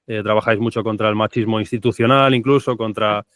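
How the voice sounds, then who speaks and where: Eager male podcast host, warm toward his guest; smooth, resonant voice, dry close recording. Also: lowish voice, fairly even intonation, fast talker, no echo. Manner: enthusiastic, affectionate, hesitant fillers. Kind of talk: podcast interview hosting.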